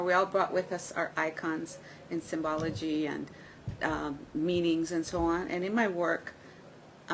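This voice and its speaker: A woman's voice: on the low side, a bit bright, a little hiss or hum, rough recording. A woman artist from Grenada explaining her work in an earnest, explanatory way. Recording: faint background ambience.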